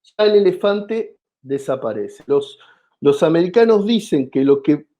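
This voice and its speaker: A man's voice: a little high, animated, measured pace, mellow. A man from Argentina with an Argentine accent, explaining his ideas as a comedian in an interview, with many hesitations and restarts. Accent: Argentine Spanish. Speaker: comedian guest.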